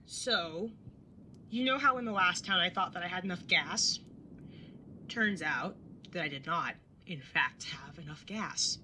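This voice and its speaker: A woman's voice: on the low side, measured pace, pushed and bright, slight background noise. A young woman playing a wry, exasperated older sibling who narrates a road trip in an audio drama, with a dry, sarcastic way of talking. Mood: irritated, restrained. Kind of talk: audio drama acting and first-person narration.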